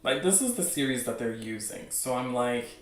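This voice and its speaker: A man hosting a show with casual, unscripted commentary, rambling as he thinks out loud. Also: medium pitch, lively intonation, moderate pace, a little hiss or hum. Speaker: host of a webcomic news show. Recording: roomy, echoing sound.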